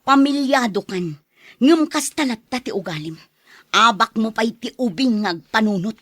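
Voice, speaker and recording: A woman's voice: on the high side, lively intonation, moderate pace, a bit bright, dry recording, studio quality. A woman playing a dutiful, long-suffering wife and mother in an Ilocano radio drama, earnest and reproachful.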